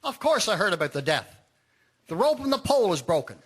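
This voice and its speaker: A man playing a gruff, irritable army major in a radio drama, blustering and indignant. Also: sits high, big pitch swings, fairly quick, full character voice. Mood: defensive. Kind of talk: amateur radio drama acting.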